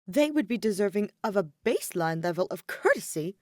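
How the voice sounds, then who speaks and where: A woman's voice: mid-range voice, very animated, brisk, no room sound, studio quality, natural voice. A woman voicing a proud, earnest young nobleman student with an indignant, formal, emphatic manner in an audiobook. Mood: earnest, lofty. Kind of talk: audiobook character acting.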